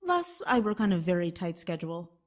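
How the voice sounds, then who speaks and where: A woman playing a wealthy, haughty plantation owner in an audio drama, formal and measured with quiet menace. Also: fairly low pitch, big pitch swings. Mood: guarded, businesslike.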